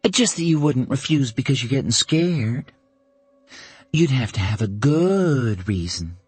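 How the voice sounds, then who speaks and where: A man voicing a sharp-witted woman who teases her best friend with dry, quick banter. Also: medium pitch, lively intonation, breathy edge, no echo, faint music behind, pitched above the performer's own voice. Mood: teasing.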